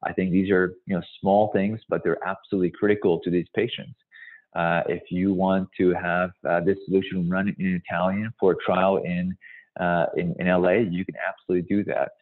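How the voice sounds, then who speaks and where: A man in his forties, from Hungary and raised partly in the US, explaining things in a measured, emphatic way as a guest presenter on a webinar. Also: low-pitched, little pitch movement. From born in Hungary, moved to the US as a child. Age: 40s. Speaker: company founder, guest presenter. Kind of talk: webinar presentation and question answering. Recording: thin, phone-like call audio.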